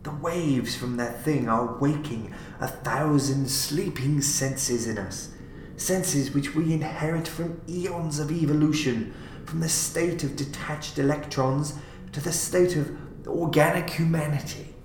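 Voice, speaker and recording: A man's voice: a little high, a little slow, a little mellow, pitched above the performer's own voice. A man voicing an obsessed, gloating mad scientist in an audiobook, lecturing with intense emphasis. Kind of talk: audiobook character acting. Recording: roomy, clearly noisy home recording.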